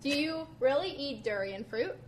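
A woman co-hosting a podcast, asking quick questions in a brisk, casual way. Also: sits high, lively intonation, a little hiss or hum. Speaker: podcast co-host.

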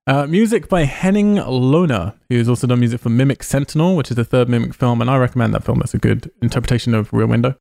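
A man's voice: mid-range voice, fast, creaky, few pauses, dark tone, no echo, clean studio sound. A man hosting a casual film podcast, chattily reading out information and adding informed asides. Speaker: podcast host.

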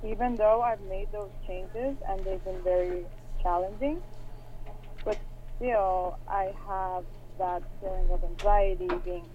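A woman in her thirties from Iran, calling in and speaking hesitantly with many pauses and fillers about personal struggles. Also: mid-range voice, fairly even intonation, dark tone. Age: 30s. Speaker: caller. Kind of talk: personal call-in conversation. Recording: tinny phone line with faint hiss.

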